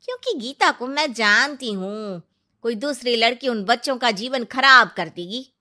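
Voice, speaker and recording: A woman's voice: fairly high pitch, animated, unhurried, sharp tone. A woman playing a meddling middle-aged auntie matchmaker in a cartoon, coaxing and insistent. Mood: persuading. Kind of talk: Hindi cartoon dubbing.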